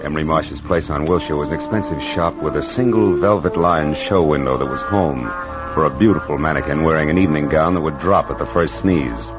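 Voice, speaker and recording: Hard-boiled detective, American accent, wry, deep voice, old radio drama, muffled recording. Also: male voice, fairly quick. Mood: wry, narrating. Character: wry, tough male private detective narrating his case. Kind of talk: 1940s radio acting. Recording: dull vintage radio recording with music.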